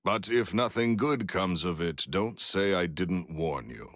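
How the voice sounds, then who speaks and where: A man's voice: deep voice, a little slow. An actor playing a curt, hot-tempered, threatening businessman in a video game, cold and domineering. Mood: cold warning. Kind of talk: English video game voice acting.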